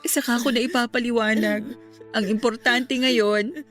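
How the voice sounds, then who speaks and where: A woman playing a supportive young woman in a Filipino radio drama, calm and reassuring in manner. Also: on the high side, measured pace, faint music behind. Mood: grateful, calm. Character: supportive, gentle young woman, the son's companion.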